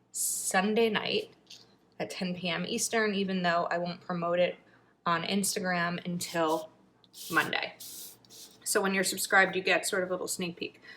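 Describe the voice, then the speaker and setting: Bright-voiced woman vlogging, slightly raspy. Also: mid-range voice, slight background noise. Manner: chatty, thinking aloud while crafting, drops to murmurs. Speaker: planner vlogger. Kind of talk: casual planning video chat.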